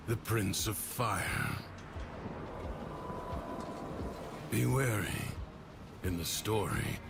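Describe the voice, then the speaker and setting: Calm cryptic mentor from a game, real slow and solemn, music going behind him. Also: male voice, fairly low pitch, vocal fry, full character voice. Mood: grave. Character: calm, cryptic male mentor guiding a chosen one. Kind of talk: cinematic game dialogue.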